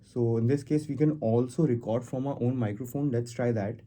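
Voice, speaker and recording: Man with a very dark, muted voice. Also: mid-range voice, fairly quick. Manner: step-by-step explaining. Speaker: tutorial host. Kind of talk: casual screen-recorded software walkthrough.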